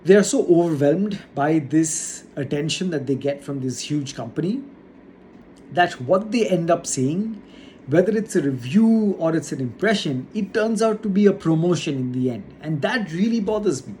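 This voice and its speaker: A YouTuber from India talking earnestly and casually to his audience, with a measured pace and frequent "uh" fillers. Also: on the high side, expressive, a little mellow, a little hiss or hum. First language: not Hindi; English not first noted. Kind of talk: casual talk to camera. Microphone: studio setup.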